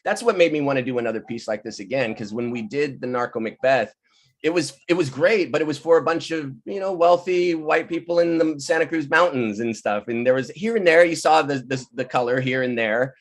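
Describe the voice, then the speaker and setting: A man with a mid-pitched voice talks casually over a video call, like someone leading a relaxed online gathering. He tells anecdotes in an animated way and tends to act out the people he quotes. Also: fairly quick. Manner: animated, laughing often, acting out quoted people. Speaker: playwright and director leading a virtual table read. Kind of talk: casual, anecdotal storytelling chat. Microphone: video call microphone.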